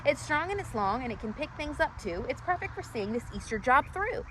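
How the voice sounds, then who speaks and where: A woman voicing a squeaky little storybook mouse who is helpful, frank and bossy. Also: sing-song, fairly quick, background noise. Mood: excited.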